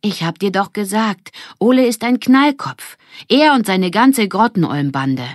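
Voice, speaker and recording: A woman voicing an excitable, indignant girl in a children's story, exclaiming and complaining. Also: mid-range voice, measured pace, dry recording, studio quality, voice pushed up, natural voice.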